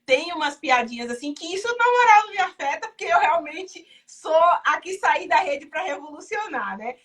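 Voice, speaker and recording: A woman's voice: very high voice, lively intonation, normal speed, a bit bright. A woman from Salvador, hosting a casual live chat in an exuberant, chatty, proud way. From Salvador, Bahia, Brazil. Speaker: live stream host. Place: indoors in Chicago.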